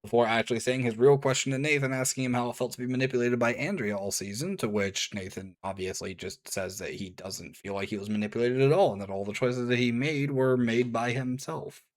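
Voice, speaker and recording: A man's voice: medium pitch, a little fast, hardly any pauses. Casual male reviewer with a strained voice. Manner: casual, with offhand asides. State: voice strained and hoarse. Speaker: video reviewer. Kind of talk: scripted review narration.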